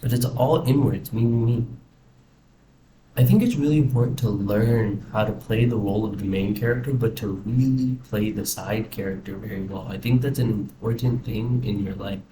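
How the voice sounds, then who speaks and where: A man's voice: lowish voice, moderate pace, mellow. A young man with an American accent talking casually and reflectively in a rambling personal video diary. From United States. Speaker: vlogger. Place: indoors in a house. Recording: roomy echo, faint background noise.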